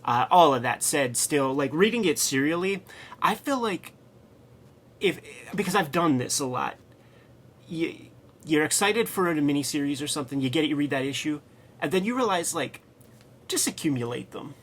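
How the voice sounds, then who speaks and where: A man co-hosting a comics show, chatting casually and excitedly in a slangy, profane, riffing way. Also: fairly high pitch, moderate pace, a little hiss or hum. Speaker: co-host of a comics review show.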